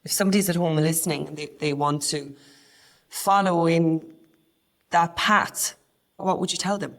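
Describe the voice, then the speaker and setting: Irish woman with a gravelly voice. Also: deep voice, moderate pace, fairly bright tone. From Ireland. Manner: earnest, conversational, with frequent restarts. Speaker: podcast co-host. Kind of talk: casual podcast chat.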